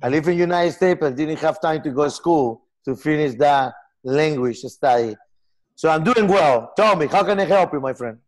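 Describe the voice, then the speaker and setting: A man in his forties from Peru, speaking English with a Peruvian Spanish accent, earnest and halting in a casual video-call interview. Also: fairly high pitch. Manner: earnest, gestures a lot, halting non-native phrasing. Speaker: software company founder. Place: home room with lamp and armchair.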